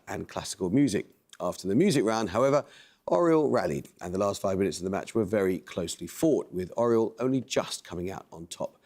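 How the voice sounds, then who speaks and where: Male quizmaster, measured delivery, precise question-reading, studio quiz show. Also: on the low side, creaky, a little mellow.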